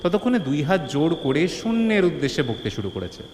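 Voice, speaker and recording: A man's voice: on the high side, sing-song, fairly quick, darkish tone. A man narrating a scripted horror-comedy story in a theatrical, suspenseful style. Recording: reverberant, faint ambient noise.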